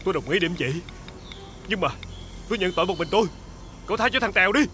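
A man's voice: high voice, fast, soft background music, close to an everyday voice. An actor playing a defiant, loyal poor young man held captive, earnest and bitter, with a southern Vietnamese accent and dramatic period delivery. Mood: resigned, pleading.

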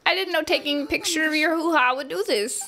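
A woman giving casual, playful, expressive live commentary as she streams a game. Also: high voice, fast talker, noisy background. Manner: playful, expressive, exclamatory reactions.

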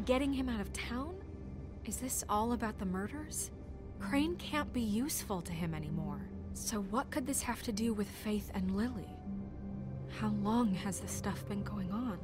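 Game casting: principled, no-nonsense young woman in authority, higher register, firm and clipped, scored with music. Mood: troubled. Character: stern, principled young woman deputy mayor. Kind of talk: video game voice acting. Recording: music behind the voice.

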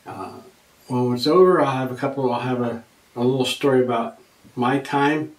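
An older man introducing his own web show, speaking haltingly with many pauses and fillers, in a small studio. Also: medium pitch. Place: small studio in front of a virtual set. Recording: roomy, echoey room.

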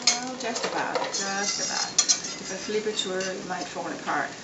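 A middle-aged woman with a Danish accent, giving a casual, unhurried cooking demonstration step by step. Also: mid-range voice. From Denmark. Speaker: home cook and cooking show host. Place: home kitchen, indoors. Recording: echoey room, loud noise, kitchen clatter.

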